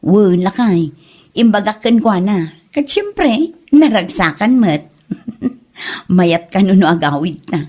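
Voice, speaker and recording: A woman's voice: mid-range voice, sing-song, takes it slowly, darkish tone. A woman playing an easygoing married vlogger in an Ilocano comedy audio drama, conversational and reassuring, with an Ilocano Filipino accent. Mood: unbothered.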